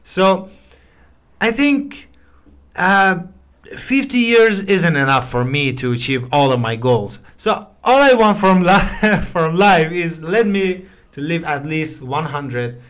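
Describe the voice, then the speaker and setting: Encouraging young man, smooth voice. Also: fairly high pitch, big pitch swings, slow, fairly bright tone. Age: 20s. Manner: encouraging, hesitant with many fillers and restarts. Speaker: English learner giving feedback. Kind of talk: casual voice message.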